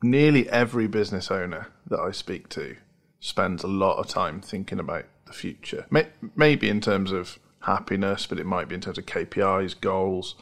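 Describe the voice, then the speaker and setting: A man's voice: low voice, animated, normal speed. A man hosting a podcast, thoughtfully building up a long interview question. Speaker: podcast host.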